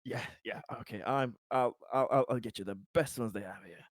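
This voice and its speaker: A man playing an eager, courteous male sci-fi colonist who flatters a leader in a formal, repetitive way in a tabletop game. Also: mid-range voice, brisk, no room sound, studio quality, close to an everyday voice. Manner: deferential, formal, repeating himself.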